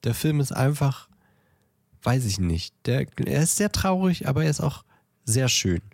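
A man's voice: medium pitch, sing-song, unhurried, gravelly, darkish tone, dry recording, studio quality. A man co-hosting a podcast, chatting casually about films and often searching for words. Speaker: podcast co-host.

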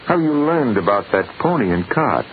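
Middle-aged father, stern, wounded, low animated male voice, 1940s radio acting, tinny hissy recording. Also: moderate pace. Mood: suspicious, angry.

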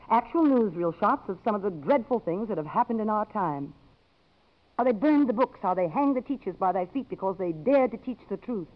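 A woman's voice: medium pitch, natural voice. An actress with an American accent playing a frank, earnest, principled woman in a 1950s radio drama. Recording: dull vintage 1950s radio broadcast.

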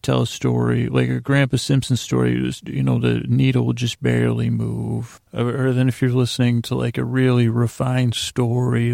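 A man hosting a sleep podcast in a soothing, rambling bedtime monologue, hesitant and meandering, with long pauses and stammered restarts and a gravelly voice. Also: mid-range voice, a little mellow, no room sound. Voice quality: creaky, gravelly. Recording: faint music under a dry voice.

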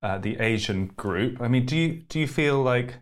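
A man hosting a podcast interview, asking questions in a measured, thoughtful way with hedges. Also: mid-range voice, lively intonation. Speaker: podcast host.

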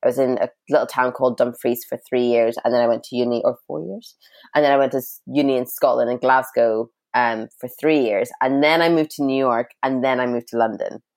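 A woman from Sudan, a podcast guest, talking in a lively, laughing way. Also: extremely low pitch, brisk. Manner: laughs often, animated storytelling. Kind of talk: conversational interview.

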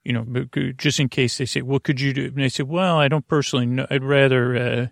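A man with a creaky voice hosting a bedtime podcast in a rambling, halting, lulling monologue that keeps drifting into digressions. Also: mid-range voice, fairly even intonation, dry recording, clean studio sound. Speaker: podcast host.

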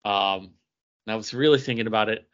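A man's voice: fairly low pitch, fairly quick, a bit bright. A man co-hosting a podcast, chatting casually and conversationally with friends. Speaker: podcast co-host. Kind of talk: casual chat among friends. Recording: dull, band-limited audio.

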